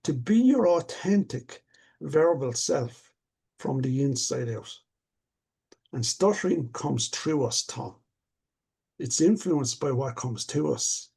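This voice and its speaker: Older man with a gravelly, husky voice. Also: mid-range voice, lively intonation, slow pace, a little mellow. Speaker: stuttering coach. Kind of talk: casual conversation.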